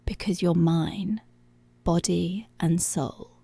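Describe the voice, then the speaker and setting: A woman performs a commanding, seductive policewoman in an intimate ASMR roleplay. Her delivery is very slow and deliberate, slipping between murmur and whisper. The voice is husky and breathy with a heavy fry, possessive but tender. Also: fairly low pitch, fairly even intonation, warm tone, no room sound, exaggerated voice. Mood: possessive, soothing. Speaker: ASMR voice actor. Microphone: close, dry microphone.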